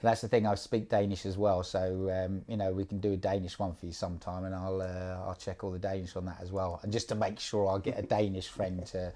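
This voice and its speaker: A man mentoring someone one-on-one in a casual, relaxed way, repeating words and using many fillers. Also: sits low, a little fast, hardly any pauses. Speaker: job placement mentor.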